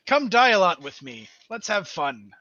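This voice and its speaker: A man's voice: high-pitched, big pitch swings, moderate pace, pushed and bright, no echo. A man chatting casually about gaming as a podcast guest, on a dull, dry lo-fi voice channel.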